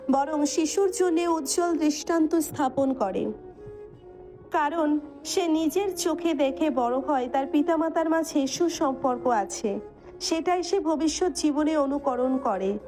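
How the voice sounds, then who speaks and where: A woman's voice: sits high, warm tone. A woman reading scripted dramatic narration as the storyteller of an audio story.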